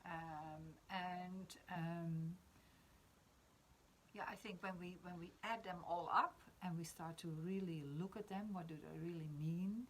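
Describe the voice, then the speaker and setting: A woman in her fifties with a Dutch accent, reflecting in a spiritual conversation, often pausing to search for words. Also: on the low side, steady tone, a little hiss or hum. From Netherlands. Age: 50s. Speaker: discussion participant.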